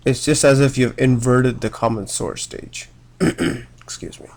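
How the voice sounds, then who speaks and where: A man's voice: medium pitch, animated, measured pace, creaky, darkish tone. A young man with a cold giving a casual electronics lecture, often checking in with "right?" and restarting his sentences. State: has a cold.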